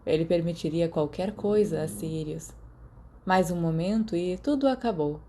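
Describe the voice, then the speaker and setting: A woman's voice: mid-range voice, warm tone. A Brazilian woman reading fiction aloud as scripted audiobook narration. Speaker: podfic narrator.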